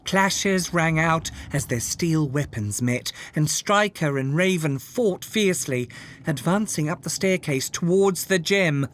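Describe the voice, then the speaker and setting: A storyteller reading a children's fairytale aloud in an expressive, measured way, with dramatic scripted delivery. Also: mid-range voice. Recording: music under the voice. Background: background music.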